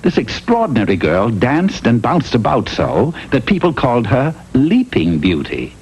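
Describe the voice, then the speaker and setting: A man's voice: medium pitch, huge pitch swings, normal speed, a little mellow, husky, muffled recording. A man playing a droll, theatrical storyteller narrating a comic fairy tale for a classic cartoon. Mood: droll storytelling. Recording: noisy old TV cartoon recording.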